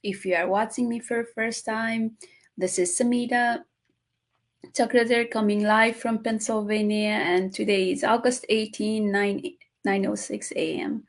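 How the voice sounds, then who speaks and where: A middle-aged woman from Nepal hosting a live online talk show, speaking haltingly with many fillers and restarts. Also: mid-range voice, fairly even intonation. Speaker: host.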